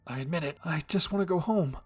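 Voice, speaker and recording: A man's voice: on the high side, fast, slightly rough, soft background music. A man voicing a frightened teenage boy who whispers urgently in desperation. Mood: desperate whisper. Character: frightened teenage boy, hot-tempered but scared, desperate.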